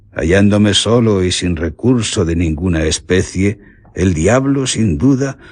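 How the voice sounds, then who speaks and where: An actor playing a nobleman turned bandit, confessing his crimes in formal, measured radio drama declamation. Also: rumbling low, darkish tone, breathy edge, no echo, exaggerated voice. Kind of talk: Spanish radio drama monologue.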